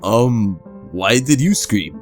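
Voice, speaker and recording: A man's voice: lowish voice, expressive, takes it slowly, faint music behind. A man voicing a laid-back, wisecracking but uneasy skeleton in a comic dub, mumbling hesitantly with dry humor. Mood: groggy, confused.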